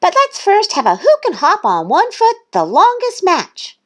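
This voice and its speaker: An extremely high, swooping little duck girl. She brags and shouts with competitive, excitable energy, like the pushy newcomer in a children's storybook. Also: slow pace, exaggerated voice. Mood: competitive, bossy.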